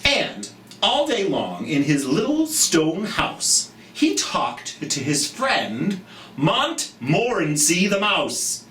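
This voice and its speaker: A children's storytime host reading a picture book aloud, slowly and expressively, with chatty asides to young listeners. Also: medium pitch. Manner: slow, expressive, speaking directly to children. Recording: roomy, distant mic, background noise.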